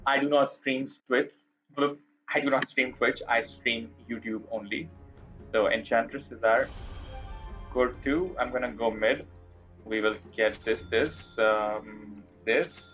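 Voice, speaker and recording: A man's voice: medium pitch, takes it slowly, a bit bright, lo-fi sound, faint music behind. A man from Pakistan chatting casually and candidly as he live-streams a game, informal and reflective. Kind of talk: casual live-stream chat during gaming.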